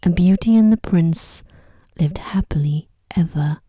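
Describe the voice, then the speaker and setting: A woman reads a sleep story in a low, very mellow voice. She is soft and breathy, often slipping toward a whisper, with a soothing, unhurried delivery. The sound is close and dry. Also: no echo. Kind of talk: ASMR bedtime story reading. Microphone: close microphone.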